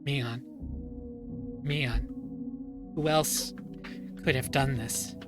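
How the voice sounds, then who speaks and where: A man streaming a dramatic first-person reading of a story, pausing and repeating phrases for suspense. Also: medium pitch, fairly even intonation. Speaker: streamer reading a visual novel aloud. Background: music.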